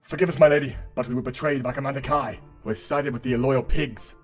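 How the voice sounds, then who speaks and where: Minor game role: male actor as a captured soldier, quick-talking, deferential and apologetic. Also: medium pitch, steady tone, soft background music, lightly acted. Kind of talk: medieval fantasy game cutscene acting.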